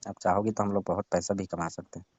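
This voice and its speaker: A man's voice: deep voice, flat delivery, fast, a little mellow, slightly rough, dry recording. A man playing a sly young friend of the family who coaxes and insinuates in a casual phone conversation. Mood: scheming. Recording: muffled lo-fi phone call recording.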